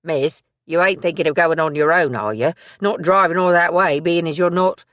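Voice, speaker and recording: A woman's voice: fairly low pitch, normal speed, fairly bright tone, dry recording, studio quality, natural voice. A woman voicing an urgent young man. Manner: urgent, breathless, blunt. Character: young man, anxious loyal working-class assistant.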